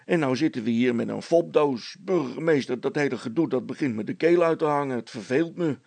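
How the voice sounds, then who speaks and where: Man playing a gravelly, stolid, blunt handyman. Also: mid-range voice, fairly quick, darkish tone, no room sound, pitched below the performer's own voice. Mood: grumbling. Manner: plain-spoken, dry, stubborn. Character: stolid, blunt, unflappable handyman, grumbling adult male.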